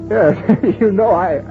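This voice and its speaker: A man acting as a frightened husband who narrates a ghost story in dramatic, halting old-time radio horror style. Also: sits high, creaky, full character voice. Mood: shaken. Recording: tinny old radio recording with music behind.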